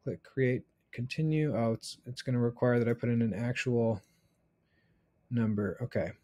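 Man, thirties, medium-pitched voice, casual instructor, screen-share tutorial, thinking aloud step by step. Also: fairly even intonation, moderate pace, warm tone.

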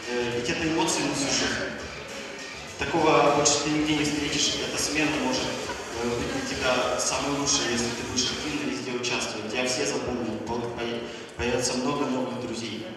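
A man's voice: mid-range voice, fairly even intonation, fairly quick. A young man improvising a persuasive talk as a contestant on a theatre stage. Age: teens to young adult. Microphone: handheld microphone through hall speakers. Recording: very echoey distant hall, loud music.

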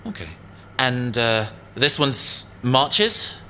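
A young male inventor pilot in a sci-fi audio drama. He is cheeky and defensive, with hesitant moments and a sharp, very varied voice. Faint ambience sits behind him. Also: mid-range voice, big pitch swings, very slow, slight background noise, full character voice. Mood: hesitant, practical.

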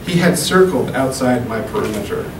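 A man in his thirties reading poems at an open mic into a handheld mic, slow and deliberate, with casual asides between poems. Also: medium pitch, animated. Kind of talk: poetry reading. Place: small room at a podium. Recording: echoey room, camera from far off, hum.

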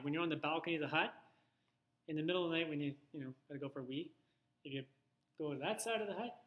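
A man gives an informal instructional talk as a photographer. His voice sits a little higher than most men's. He talks conversationally, thinking aloud and adding asides as he explains. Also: a bit bright. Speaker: photographer giving a presentation.

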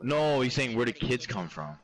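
A young man in casual game voice chat, excitable and slangy. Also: medium pitch, moderate pace. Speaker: video game teammate. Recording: very noisy game headset chat.

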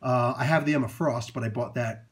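Husky man rambling through a toy unboxing. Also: mid-range voice, fairly quick. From Italian heritage. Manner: rambling, many fillers and restarts, chuckles often. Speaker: toy reviewer. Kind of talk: casual unboxing commentary. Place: indoors at a table, off camera.

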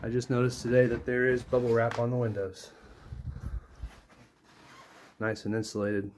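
A man's voice: mid-range voice, level tone, moderate pace, darkish tone, a little hiss or hum. A middle-aged man giving a casual, offhand walkthrough of a small house, thinking aloud as he films on his phone while walking from room to room. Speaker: tour guide. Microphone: phone held while filming.

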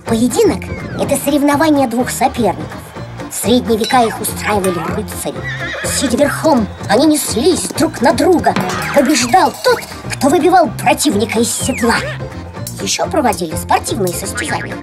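A woman voicing a strict, proud elderly schoolteacher in a children's cartoon, brisk and emphatic. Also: a little high, lively intonation, lightly acted. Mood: explaining keenly. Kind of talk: animated children's cartoon acting. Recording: music under the voice.

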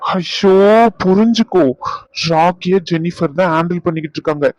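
A man's voice: sits high, normal speed, no room sound, close to an everyday voice. A middle-aged man acting as an uncle who scolds and coaxes his stubborn niece. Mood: coaxing. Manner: scolding, then coaxing. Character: stern but caring middle-aged uncle. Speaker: uncle of the young woman. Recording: dull lo-fi recording.

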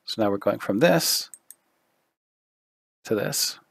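Brief: man tutoring a 3D tool, low fry-edged voice, dry and close, hoarse, unhurried. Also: no room sound. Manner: relaxed, thinking aloud with fillers. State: losing his voice, throat clearing. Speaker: 3D artist giving a tutorial. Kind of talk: screen-recorded software walkthrough.

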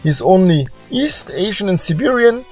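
A man who is not a native English speaker, with a non-native accent, gives informal screen-share commentary as host, haltingly searching for words. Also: high voice, sing-song, a little mellow, slightly rough, soft background music. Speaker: host presenting DNA results.

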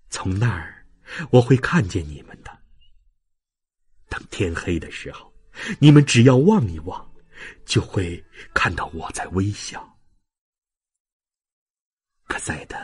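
A man performing an audiobook as a frail, dying old father, tender and humble, with halting, sentimental delivery and a husky, breathy voice. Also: deep voice, exaggerated voice. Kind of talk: dramatic audiobook reading of a classic novel.

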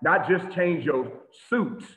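A man preaching an animated sermon to a congregation over a video call, with lots of repetition and sudden shouts. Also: fairly high pitch, slow. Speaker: teacher of a congregation. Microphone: video call webcam. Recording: tinny phone-like echoey audio.

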